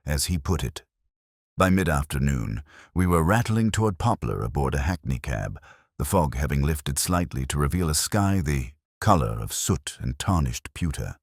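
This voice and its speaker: Extremely deep male audiobook narrator. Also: slightly rough, dry recording, studio quality. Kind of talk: scripted first-person mystery narration.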